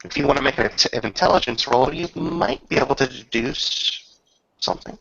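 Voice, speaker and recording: Gravelly man running a tabletop game. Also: mid-range voice, moderate pace, fairly bright tone, dry recording, highs cut off. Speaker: game master. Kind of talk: improvised narration of a tabletop game.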